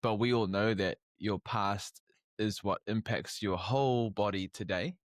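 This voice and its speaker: A man's voice: on the low side, no echo, studio quality. A young man hosting a podcast interview, talking casually and stopping often to restart his sentences. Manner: halting, many restarts and fillers. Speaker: podcast host.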